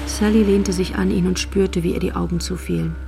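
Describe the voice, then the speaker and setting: A woman's voice: fairly low pitch, fairly even intonation, fairly quick, vocal fry, over music. A woman narrating a scripted radio drama in the third person.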